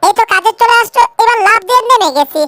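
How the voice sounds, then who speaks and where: A tiny, plucky cartoon animal voice, squeakily high and bright. It is cheeky and bold. The recording is dry and close. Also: female voice, expressive, quick pace, dry recording, exaggerated voice. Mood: bold, gleeful. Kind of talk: cartoon fan dub.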